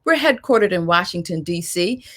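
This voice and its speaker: A woman's voice: lowish voice, animated, normal speed, a bit bright. Emphatic woman moderating a panel. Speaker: panel moderator, executive director. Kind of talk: hosting a virtual panel discussion.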